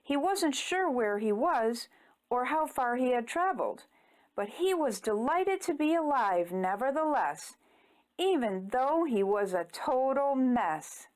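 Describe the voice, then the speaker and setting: Slow, high-pitched woman storyteller. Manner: expressive, deliberate, theatrical storytelling. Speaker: children's storyteller. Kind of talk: rhyming picture-book read-aloud.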